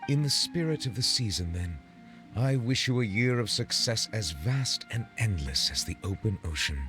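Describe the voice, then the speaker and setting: An actor playing a courteous, solemn dignitary in a video game, speaking in formal, measured, ornate phrases. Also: male voice, fairly low pitch, expressive, music in the background, natural voice. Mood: reflective, formal. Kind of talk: video game dialogue acting.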